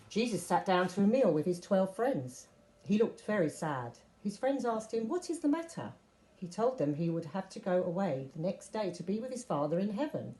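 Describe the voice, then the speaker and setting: A woman reading a Bible story aloud as scripted narration, with expressive delivery of quoted speech. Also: lowish voice, poor audio. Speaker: story reader.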